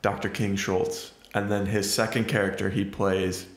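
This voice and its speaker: A man's voice: on the low side, little pitch movement, moderate pace, roomy sound. A man co-hosting a casual movie review podcast, rambling with lots of fillers. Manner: rambling, many fillers like "like" and "you know". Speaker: podcast co-host.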